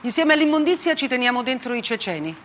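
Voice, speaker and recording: A man's voice: very high-pitched, very animated, quick pace, a bit bright, a little hiss or hum, pitched above the performer's own voice, heavily acted. A woman performer voicing a hard, self-righteous male army colonel, blunt and accusatory, in a dramatic stage monologue. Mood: cold, boastful. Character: hard, defiant Russian army colonel in Chechnya. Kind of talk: dramatic monologue radio theatre.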